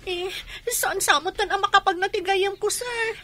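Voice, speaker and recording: Super high piercing young woman, sharp-tongued doubting bestie, radio drama style. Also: moderate pace, slight background noise. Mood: insistent. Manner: incredulous, exclaiming questions.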